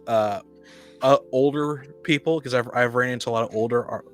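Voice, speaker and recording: A man co-hosting a casual podcast discussion, talking in a halting way and often rephrasing mid-sentence. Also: medium pitch, faint music behind. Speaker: podcast co-host. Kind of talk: casual discussion of tabletop roleplaying.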